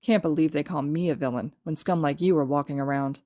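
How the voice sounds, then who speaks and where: A woman's voice: low-pitched, steady tone, fast talker, no room sound, studio quality, natural voice. Woman reading as a drawling, sardonic male villain. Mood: contemptuous. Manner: drawling, sneering, blunt.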